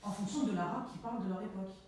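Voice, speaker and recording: A woman's voice: mid-range voice, very fast, a little mellow. Hesitant woman in an echoey room. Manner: hesitant, many fillers and restarts. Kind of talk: casual contribution to a class discussion. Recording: echoey room, distant mic, faint noise.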